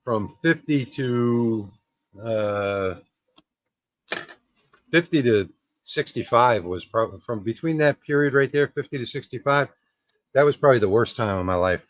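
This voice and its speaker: A man's voice: medium pitch, animated, a little slow, long pauses. A man in his fifties hosting a casual livestream, talking in a rambling way with fillers and restarts. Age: 50s. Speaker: livestream host.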